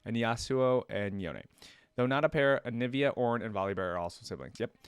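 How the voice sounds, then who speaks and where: A man's voice: mid-range voice, fairly quick, clean tone, no echo, clean studio sound. A man hosting a reaction video, reading trivia aloud in a casual way with short asides to himself.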